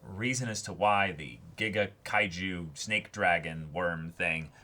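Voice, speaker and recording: A man hosting a casual discussion show, talking enthusiastically in slangy, animated phrasing. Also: low-pitched, measured pace. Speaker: host of a reaction and discussion show.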